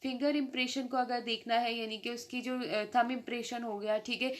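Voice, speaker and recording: A woman with a Pakistani accent lecturing informally on legal articles, explaining step by step and slowing for English terms. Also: on the high side, steady tone. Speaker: law lecturer.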